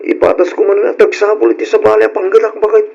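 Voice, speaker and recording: A man's voice: high voice, level tone, fast talker, gravelly, a little mellow, husky, full character voice. A man playing an eager, agreeable young husband in an old-style radio drama. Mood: agreeable, coaxing. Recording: dull, lo-fi old radio recording.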